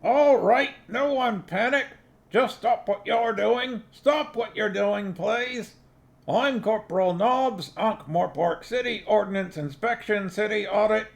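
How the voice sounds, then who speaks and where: Nobby, a gravelly, high-pitched blusterer. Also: male voice, takes it slowly, full character voice. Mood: blustering. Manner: blustering, pushy, bossing people about. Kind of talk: audiobook character voice.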